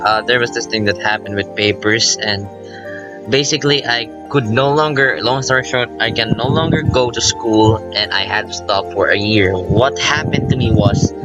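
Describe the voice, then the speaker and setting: A man giving casual, rambling let's play commentary, excitable and informal, breaking off his own sentences and reading visual novel text aloud. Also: mid-range voice, poor audio. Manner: informal, self-interrupting, excitable. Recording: music playing behind the voice. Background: visual novel game music.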